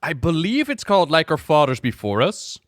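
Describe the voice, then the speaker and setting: A man's voice: on the high side, big pitch swings, moderate pace, no echo, studio quality. Clean-voiced man giving enthusiastic game commentary. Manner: enthusiastic, effusive. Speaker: video game lore reactor. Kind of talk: casual reaction commentary.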